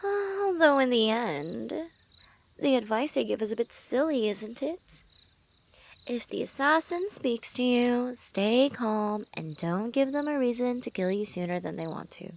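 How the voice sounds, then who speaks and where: A woman playing a lovestruck assassin in an intimate ASMR roleplay, teasing and menacing, speaking softly and often dropping into whispers. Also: fairly high pitch, lively intonation, a little slow. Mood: coolly teasing.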